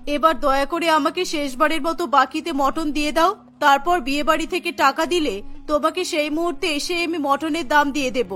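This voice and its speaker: A woman's voice: high voice, fairly quick, a bit bright, faint music behind, pitched below the performer's own voice. A woman playing a poor, hardworking mother who cooks for a living in a cartoon, earnest and persuasive. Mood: pleading.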